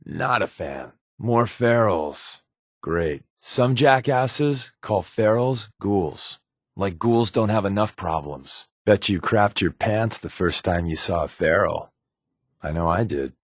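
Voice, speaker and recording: A man's voice: on the low side, animated, slow pace, no echo, clean studio sound, exaggerated voice. A man voicing a wisecracking, guarded undercover agent companion in a video game, with a wry, sarcastic, flippant delivery.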